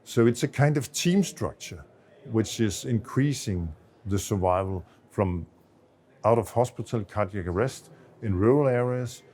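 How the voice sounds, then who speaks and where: Low-voiced Danish man explaining. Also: unhurried, a little mellow. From Denmark. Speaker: associate professor of medicine, expert interviewee. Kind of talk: explanatory interview answers on a medical project.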